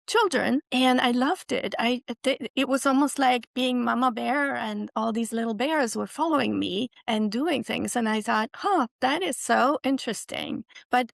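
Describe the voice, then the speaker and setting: A woman's voice: fairly high pitch, creaky, dry recording, clean studio sound. A middle-aged woman from Switzerland with a Swiss accent, a university president reflecting on her path as an interview guest, with frequent restarts and self-corrections. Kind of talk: conversational interview.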